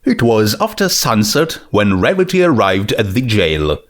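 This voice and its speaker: A man's voice: lowish voice, sing-song, a little slow. A man reading a piece of comic fiction aloud as its narrator, in scripted storytelling style.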